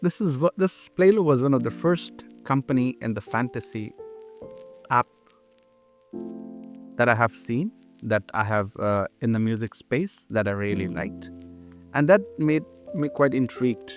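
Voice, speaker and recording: A man's voice: medium pitch, measured pace, soft background music. Man hosting a podcast interview. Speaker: podcast host.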